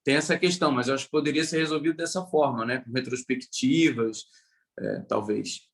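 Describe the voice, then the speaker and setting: A man's voice: mid-range voice, fairly even intonation, brisk, creaky. A Brazilian man speaking spontaneously in a panel discussion, tentatively circling back over his phrases.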